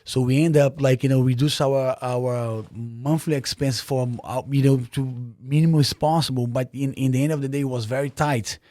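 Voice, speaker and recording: A man's voice: mid-range voice, steady tone, moderate pace, hardly any pauses, mellow, no echo, studio quality. A man from Brazil, a native Portuguese speaker with a Brazilian Portuguese accent, talking earnestly as a podcast guest with many fillers and restarts. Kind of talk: casual interview.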